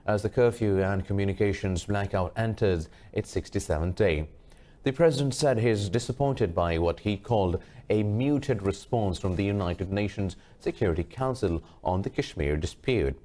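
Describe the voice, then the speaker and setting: A man reading a scripted news bulletin as anchor, formal and measured. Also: low voice, a little mellow.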